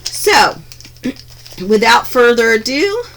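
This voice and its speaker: A woman chatting through a craft unboxing in her home craft room, gushing over each piece with frequent ums and restarts, her voice a little hoarse from being under the weather. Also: on the high side, very animated, takes it slowly, a bit bright. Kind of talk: casual unboxing narration.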